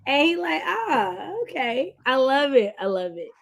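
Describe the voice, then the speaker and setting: A woman with an American accent hosting a book readalong stream in an animated, colloquial, emphatic way. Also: sits high, a little slow, creaky. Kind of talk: casual livestream chat.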